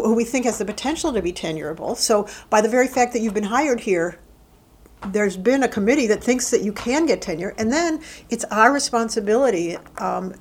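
A middle-aged woman who works as a university administrator, answering interview questions in a thoughtful, halting way with frequent restarts. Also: mid-range voice. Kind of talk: oral history interview.

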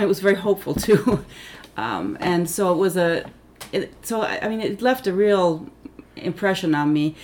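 A middle-aged woman who grew up in Tanzania, with Finnish as her first language, recalls her childhood in a thoughtful, halting way, full of ums and self-corrections. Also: lowish voice, a little hiss or hum. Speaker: interviewee, Africanist scholar. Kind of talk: reflective oral history interview.

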